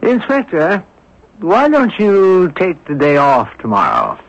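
An actor playing an urbane, inquisitive amateur sleuth with a teasing manner in a radio mystery drama. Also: male voice, high-pitched, wildly swooping pitch, slow, exaggerated voice. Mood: teasing, friendly. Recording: dull lo-fi old radio recording.

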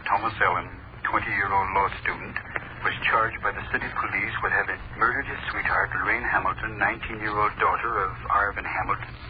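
An actor voicing a news announcer who reads a court report in a formal, matter-of-fact way in an old radio play. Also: male voice, on the low side, steady tone, brisk, lightly acted. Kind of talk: 1940s radio acting. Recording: dull crackly old radio recording.

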